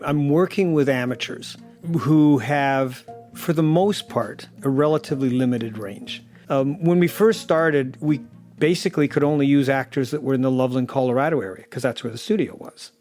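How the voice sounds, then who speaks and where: A man with a fairly high voice talks as a director in an interview. He is matter-of-fact and deliberate, with faint music behind him. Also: darkish tone. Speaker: audio drama director. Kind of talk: unscripted interview.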